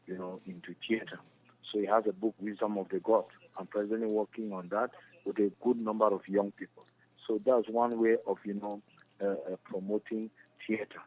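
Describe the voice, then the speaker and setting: A man with a Cameroonian accent answering interview questions in a halting, repetitive, earnest explaining way. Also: on the low side, a little hiss or hum. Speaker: interview guest, stage actor. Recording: muffled lo-fi radio phone call.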